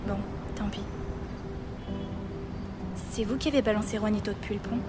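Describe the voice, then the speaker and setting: A woman's voice: medium pitch, fairly even intonation, normal speed, natural voice. An actress playing a bitter, defiant fourteen-year-old girl in a French audio drama, blunt, slangy and sarcastic. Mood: sullen, cheeky. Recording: clear music behind the voice.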